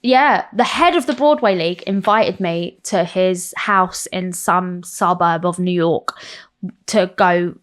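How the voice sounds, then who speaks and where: A woman's voice: fairly low pitch, takes it slowly. Candid English woman telling stories. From South London. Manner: animated, swearing, self-mocking storyteller. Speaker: guest. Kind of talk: candid interview chat.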